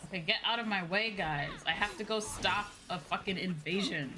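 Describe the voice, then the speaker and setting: A young woman chatting casually on a game livestream, rambling, expressive and informal, swearing freely. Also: mid-range voice, normal speed, low-quality recording. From outside Japan. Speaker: VTuber game streamer. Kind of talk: casual livestream chat over a video game. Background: game music.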